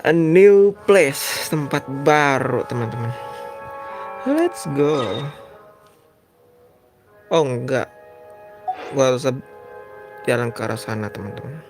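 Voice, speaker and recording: A man with an Indonesian accent comments casually on a game he is streaming. He speaks slowly at an average male pitch. Music is clearly audible behind him. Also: animated.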